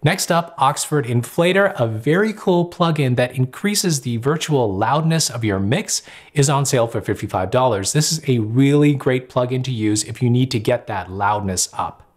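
A man's voice: mid-range voice, expressive, normal speed. A man in his thirties hosting a music gear show, mixing scripted news with casual review talk in a studio. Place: home music studio, indoors.

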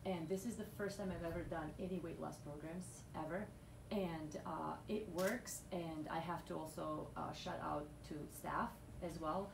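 A woman from Bosnia talks openly on a radio show, with a low, gravelly voice and easy fillers. The sound comes from a distant mic in a roomy studio with steady noise behind. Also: normal speed. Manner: chatty, frequent restarts and fillers. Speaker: weight loss client guest. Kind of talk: candid radio interview. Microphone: boom mic, seen from afar. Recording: echoey studio, far camera mic, noisy.

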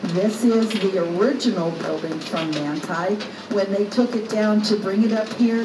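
A woman working as a tour guide, narrating a ride in an upbeat, jokey way and quizzing the riders. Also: medium pitch. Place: open-air tram ride outdoors. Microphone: recorder's phone, guide some distance away. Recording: distant echoey voice over loud outdoor noise.

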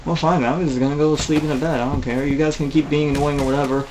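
A man's voice: a little high, level tone, fast talker, noisy background, lightly acted. A man voicing a wisecracking male antihero who talks in a flippant, teasing way. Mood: taunting.

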